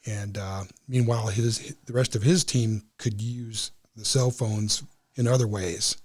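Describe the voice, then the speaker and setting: A man speaking as an interview guest, explaining things in a halting way with pauses between phrases. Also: on the low side, steady tone, darkish tone. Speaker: amateur radio club member, guest.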